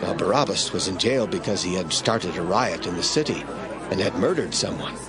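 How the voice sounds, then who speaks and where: A man narrating scripture in a radio drama, reading in a steady, measured way. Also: sits low, over a noisy crowd.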